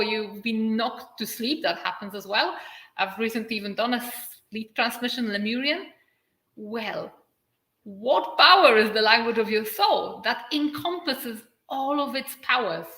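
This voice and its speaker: A woman from Poland with a Polish accent, speaking English as a second language, giving an earnest spiritual livestream talk and stretching key words with dramatic pauses. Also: fairly high pitch, sharp tone. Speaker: spiritual channel and activator. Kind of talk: livestream talk answering viewer comments. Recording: echoey room.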